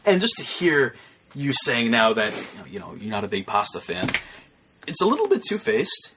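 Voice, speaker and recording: A man's voice: medium pitch, huge pitch swings, moderate pace, background noise. A man bantering on a casual comedy podcast in a dramatic, mock-hurt manner, recorded in a bedroom. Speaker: podcast host. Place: bedroom, under a bunk bed. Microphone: shared podcast microphones.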